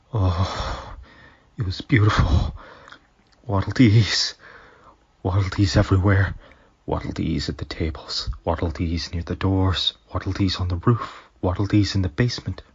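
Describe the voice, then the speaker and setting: A man's voice: deep voice, slightly rough, dry recording, muffled recording. A man reading his own fanfic aloud as dramatic storytelling, sometimes dropping into whispers. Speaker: fanfic reader.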